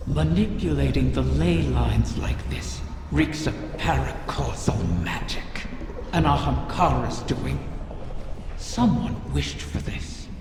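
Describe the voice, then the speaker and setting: A woman voicing an ancient, vengeful female dragon spirit in a video game, cunning and imperious, with a gravelly, menacing delivery. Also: a bass voice, noisy background, heavily acted. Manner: slow, deliberate, menacing delivery. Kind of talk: dramatic video game voice acting. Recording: cavernous long reverb, music underneath. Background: clear music.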